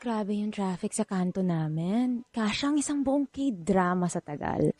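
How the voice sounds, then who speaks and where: A woman's voice: mid-range voice, animated, measured pace, mellow, dry recording. A woman with a Filipino accent playing a breezy, teasing young best friend in a radio drama.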